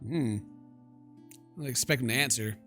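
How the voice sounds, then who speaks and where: A man's voice: medium pitch, normal speed, sharp tone, soft background music. A man hosting a game playthrough, reading the text aloud and commenting in a joking, exclamatory, informal way. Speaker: gaming video host.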